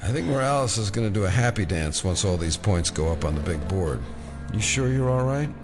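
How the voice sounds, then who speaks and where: A man's voice: on the low side, animated, fairly quick, lightly acted. An actor playing a wry, concerned male FBI agent in an audio drama. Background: loud dramatic music.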